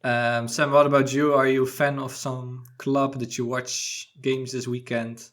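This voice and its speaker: Relaxed man host. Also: medium pitch, a little slow. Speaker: podcast host. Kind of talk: casual football chat.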